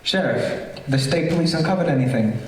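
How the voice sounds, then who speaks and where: An actor playing a composed, inquisitive sleuth who questions in a measured way, in old-time radio mystery style. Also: male voice, on the high side, lively intonation, a little hiss or hum, lightly acted. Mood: inquiring. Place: theater stage, live performance. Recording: very echoey theater, distant mic.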